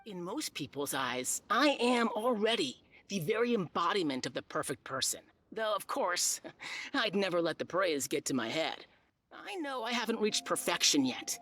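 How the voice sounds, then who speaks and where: A boastful female fighter talks in a mid-range voice with very expressive rises and falls. She sounds polished and utterly sure of herself, a smug rival in a video game. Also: mid-range voice, a little slow. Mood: self-satisfied. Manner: smug, self-assured, polished. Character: self-assured, boastful woman tournament challenger. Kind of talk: video game dialogue acting.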